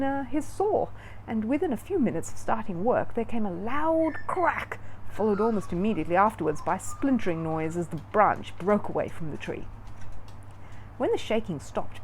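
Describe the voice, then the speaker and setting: A woman storyteller narrating a children's book in an expressive read-aloud style. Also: mid-range voice, slight background noise, low-quality recording.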